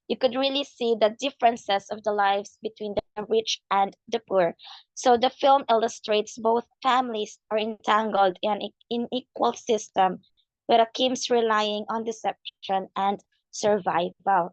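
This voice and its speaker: Husky-voiced young woman presenting. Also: mid-range voice, no room sound. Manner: explanatory, leans on "so" and "like". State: hoarse. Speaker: student presenter. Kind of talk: class presentation. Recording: dull lo-fi mic.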